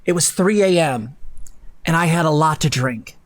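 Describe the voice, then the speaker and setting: A man's voice: fairly high pitch, moderate pace, dry recording. A young man hosting a live stream, reading aloud dramatically with mocking, sweary, theatrical commentary. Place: home room, indoors.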